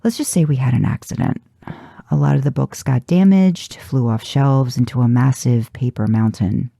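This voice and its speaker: Gruff male charmer read by a woman for story narration: casual, mumbling, dark creaky tone. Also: a bass voice, normal speed, no room sound, clean studio sound, full character voice. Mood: sheepish, casual. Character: gruff, flirtatious adult man, low-key charmer.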